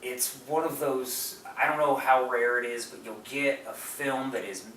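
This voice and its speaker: Bright-voiced enthusiastic man, roomy distant recording. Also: medium pitch, steady tone, a little slow. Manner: enthusiastic, gushing. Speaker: podcast co-host. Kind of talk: casual film discussion. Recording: roomy, distant mic, background noise.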